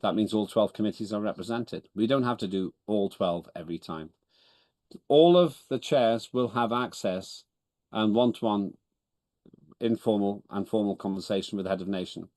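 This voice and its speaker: A lower-pitched man, Estonian by first language, chairs a video-call meeting in English. He is businesslike and wry, often correcting himself as he goes. The sound is dry and close. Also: moderate pace, darkish tone, no room sound. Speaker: chairman of parliament.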